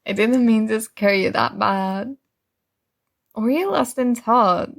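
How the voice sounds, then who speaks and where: A woman plays a young female best friend who is sweet, earnest and completely oblivious. Her voice sits a little high and she takes her time over each phrase. It is soft ASMR roleplay, bubbly, with small hesitant pauses. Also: vocal fry, exaggerated voice. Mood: gentle, curious.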